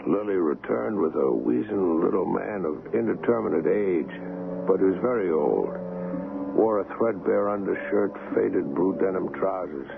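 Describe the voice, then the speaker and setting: Ship's doctor: measured, earnest, low-pitched, some creak, in a radio drama with thin broadcast sound. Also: male voice, heavily acted. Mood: grave narration. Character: calm, concerned ship's doctor, narrating his past. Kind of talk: 1970s radio drama acting and narration. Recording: narrow phone-like old radio broadcast, loud noise.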